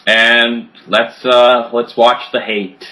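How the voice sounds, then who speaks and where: A man's voice: medium pitch, flat delivery, takes it slowly, a crowd in the background. A man of twenty chatting as a podcast guest, crude and joking, often laughing as he talks. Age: 20s. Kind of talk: casual chat.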